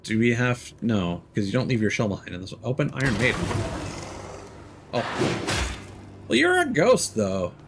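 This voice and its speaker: A man's voice: medium pitch, big pitch swings, moderate pace, long pauses, background noise. A man streaming a game, giving loose, rambling commentary as he thinks aloud and teases the characters on screen. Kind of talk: casual let's-play commentary.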